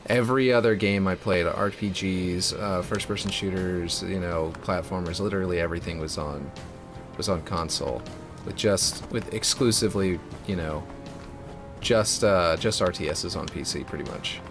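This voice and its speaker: Deep-voiced man rambling on stream. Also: moderate pace. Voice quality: fairly deep voice. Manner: rambling, many fillers and restarts. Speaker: streamer building a game map. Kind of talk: casual live-stream chat while working. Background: music.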